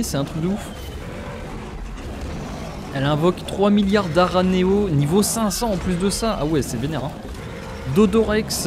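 Young French male gaming host, higher voice, excitable live commentary over loud game noise. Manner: excitable, exclaiming, talks straight to viewers. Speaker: gaming YouTuber. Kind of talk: let's play commentary.

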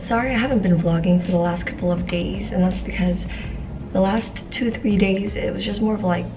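A young woman talking casually to her camera in a vlog, a little hoarse, with lots of fillers like "like" and "y'alls". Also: mid-range voice, brisk, far from the mic, over music. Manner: chatty, says "like" and "y'alls" often. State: slightly hoarse, losing her voice. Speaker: vlogger. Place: apartment living room, indoors.

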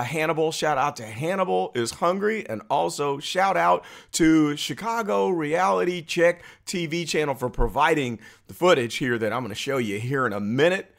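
Animated, higher-voiced American man reacting. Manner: animated, slangy, amused. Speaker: political commentary video host. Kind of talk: casual reaction commentary.

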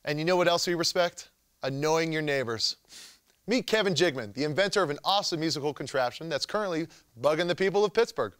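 A man's voice: a little high, expressive, fast, a bit bright, clean tone, no echo, studio quality. A young man hosting a show with scripted comedic narration in a jokey, wry manner. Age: young adult. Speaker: host.

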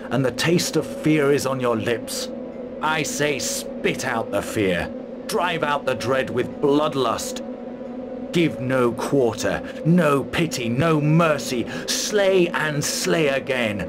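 An actor playing a stern, fearless, battle-hardened mercenary commander in a fantasy game, a man with a terse, forceful delivery. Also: mid-range voice, background noise. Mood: rousing battle cry. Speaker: army commander. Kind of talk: fantasy video game cutscene acting.

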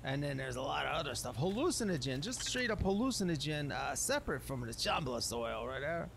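A man commentates casually on a game in a slangy, folksy way. His fairly high voice swings animatedly, with some gravelly fry, as he mutters along. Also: big pitch swings, brisk, a bit bright, slight background noise. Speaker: gameplay streamer.

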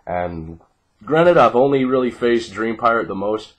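A man's voice: medium pitch, expressive, a little slow. A man in his twenties with a groggy voice, giving a casual, sweary card deck profile in a laid-back way. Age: 20s. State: groggy, congested from fighting off a cold. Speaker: card game player presenting a deck. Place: small living room at home, indoors. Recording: noisy home webcam recording with clicks.

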